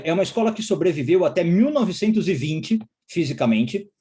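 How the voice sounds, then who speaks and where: Lecturer, male, from israel, fairly high voice, patient explainer. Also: a little mellow. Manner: explanatory, frequent checking tag questions. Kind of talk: recorded course lecture.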